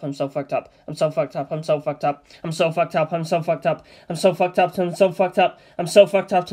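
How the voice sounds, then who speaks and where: A man reading a comedy screenplay aloud in a flat, deadpan monotone, announcing scene headings and character names. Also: a little high. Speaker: reader of a comedy script.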